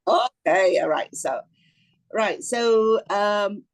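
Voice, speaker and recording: A middle-aged woman with a Malaysian accent and a husky voice, giving an informal online talk, often circling back and rephrasing. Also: mid-range voice, extremely slow. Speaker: doctor and lecturer giving a talk. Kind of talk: informal online presentation, reminiscing. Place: online video meeting with screen share.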